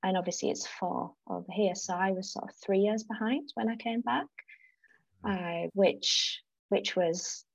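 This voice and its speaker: A woman's voice: mid-range voice, unhurried, vocal fry, dry recording, muffled recording. A woman in her thirties, raised in South Africa and North East England, chatting as a podcast guest with frequent restarts. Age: 30s. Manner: chatty, restarts often, laughs easily. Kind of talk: casual interview chat.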